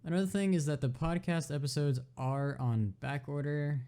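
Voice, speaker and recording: Mellow-voiced man giving a podcast notice. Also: fairly high pitch, fairly even intonation, normal speed, smooth. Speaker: podcast announcer. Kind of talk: spoken introductory notice.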